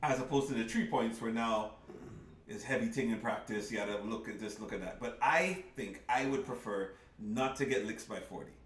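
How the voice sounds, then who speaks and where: A man's voice: lowish voice, moderate pace, distant mic. A middle-aged man co-hosting a casual sports talk show, earnestly building his argument step by step. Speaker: podcast co-host. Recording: roomy sound, mic set back.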